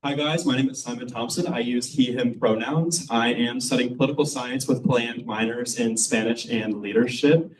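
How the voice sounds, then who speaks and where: A man's voice: mid-range voice, moderate pace, hardly any pauses. A young man from Michigan, a first-year student, giving an eager, formal campaign speech at a student forum. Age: young adult. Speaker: student election candidate. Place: room at a public forum. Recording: voice from far off the mic.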